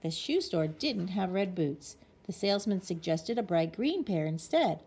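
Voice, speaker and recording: A woman with a slightly husky voice reading a children's picture book expressively as a bedtime story. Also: on the low side, very animated, measured pace, darkish tone.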